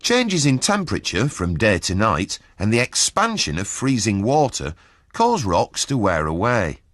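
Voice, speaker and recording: Male narrator for educational film, husky and highly animated in delivery, dry recording. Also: a little slow, dry recording.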